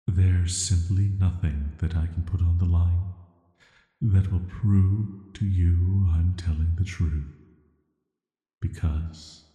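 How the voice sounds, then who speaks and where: A man playing an ancient banished villain, sealed away and softly menacing, speaking in a flat, hesitant, measured way for an atmospheric sleep-aid audio roleplay. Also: sits low. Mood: hopeful, resigned. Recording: roomy echo with long reverb.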